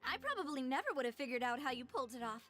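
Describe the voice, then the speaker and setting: A thoughtful young female investigator in game dialogue, earnest and high-pitched. Her lines are melodically animated, with faint music underneath. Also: racing. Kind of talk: video game dialogue acting.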